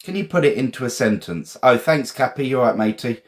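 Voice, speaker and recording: A man's voice: mid-range voice, lively intonation, fairly quick. A man from England with an English accent, teaching a casual live vocabulary lesson in a chatty, encouraging, informal way and often repeating phrases.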